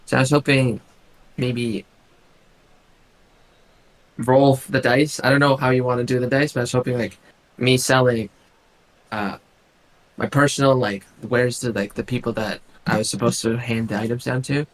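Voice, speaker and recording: Raspy guy at a tabletop game, kinda halting, lots of likes. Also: mid-range voice, steady tone, poor audio. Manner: hesitant, many likes and ums. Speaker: player. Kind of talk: casual tabletop game chat.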